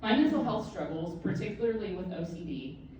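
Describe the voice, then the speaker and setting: A woman in her thirties speaks to a room full of people with a slightly low voice and a candid, self-mocking sense of humor. She sounds far from the mic, and her voice bounces around a very echoey room. Steady background noise sits under her words. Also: brisk, lo-fi sound. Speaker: author giving a speech. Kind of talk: personal speech to an audience. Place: event room with an audience.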